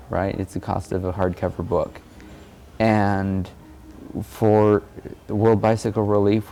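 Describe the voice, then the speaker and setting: A man with a low voice and a gravelly creak talks in an informal, reflective way. His delivery is earnest and halting, with very little movement in pitch. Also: warm tone, a little hiss or hum. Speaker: amateur cyclist and blogger.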